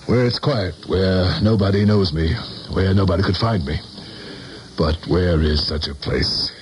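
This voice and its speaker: A middle-aged American politician, powerful yet haunted and desperate. His voice sits low and his delivery is urgent and theatrical, in an old radio play with noticeable background noise. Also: measured pace. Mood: uneasy. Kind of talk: 1970s radio drama acting. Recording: noisy 1970s radio broadcast recording.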